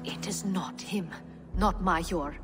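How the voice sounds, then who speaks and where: A woman's voice: lowish voice, slow pace, over music. A woman playing an earnest, loyal Norse noblewoman in a video game, terse and formal. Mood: anxious. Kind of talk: dramatic video game cutscene acting.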